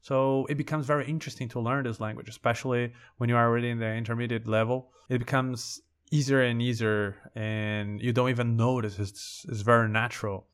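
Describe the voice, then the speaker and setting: A man's voice: mid-range voice, fairly even intonation, a little fast, hardly any pauses, smooth. Man with a Brazilian Portuguese accent. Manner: conversational, thinking aloud. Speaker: podcast host. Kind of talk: casual language-learning podcast monologue.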